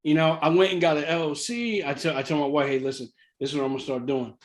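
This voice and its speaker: Higher-voiced man telling a casual story. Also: quick pace. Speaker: real estate investor sharing his story.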